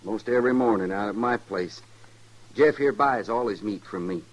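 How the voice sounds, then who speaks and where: A man's voice: lowish voice, moderate pace, close to an everyday voice, poor audio. A man acting a laconic young rancher in a radio western, with an American accent and rustic, terse delivery. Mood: casual, terse.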